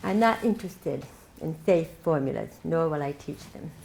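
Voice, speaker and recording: A woman's voice: deep voice, animated, normal speed, gravelly, darkish tone. An older woman giving a keynote speech at a club meeting, telling her story in a reflective way with light laughs. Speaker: keynote speaker. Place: meeting hall. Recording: echoey hall, faint noise.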